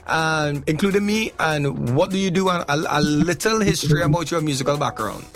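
High-voiced Trinidadian radio host. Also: male voice, faint music behind. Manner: lively, playful, quick to laugh. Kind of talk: casual radio chat and ad reads.

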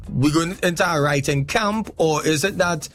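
A man with a Trinidadian accent hosting a radio show, lively and playful, quick to laugh. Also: fairly high pitch, soft background music. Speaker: radio host. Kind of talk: casual radio chat and ad reads.

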